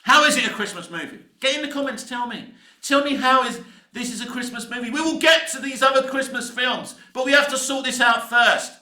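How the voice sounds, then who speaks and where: A man's voice: very high voice, brisk, bright, forceful tone. A British man in his fifties giving a casual, opinionated rant as a film vlogger, emphatic and exasperated, with mild swearing and repeated points. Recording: echoey, reverberant room.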